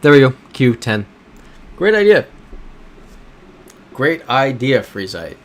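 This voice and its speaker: A man's voice: mid-range voice, very animated, slow. An American man streaming a map-guessing game, reading viewers' messages aloud and talking through his guesses. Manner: reads chat messages aloud and reasons through map clues. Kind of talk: casual live-stream chat.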